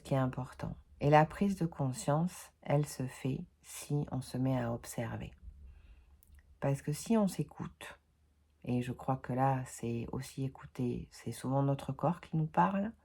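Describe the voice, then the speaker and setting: French woman, 40s, low voice, unhurried reflective vlogger, pauses and repeats words. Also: mellow. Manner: slow and thoughtful, repeats words, many "euh" pauses. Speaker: video host. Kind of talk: reflective personal development talk.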